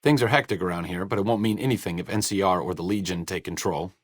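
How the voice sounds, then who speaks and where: A man's voice: sits low, fast, no echo. A man playing a sardonic, bookish, self-deprecating idealist doctor in a video game, with dry, sarcastic, wordy delivery.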